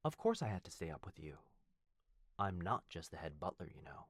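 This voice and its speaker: Man acting a low-voiced, devoted, courteous butler. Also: sing-song, brisk, breathy edge, no echo, exaggerated voice. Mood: warm, devoted. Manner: formal, deferential, fond, softly teasing. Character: devoted young head butler, courteous, gently teasing. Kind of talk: ASMR boyfriend roleplay, scripted acting.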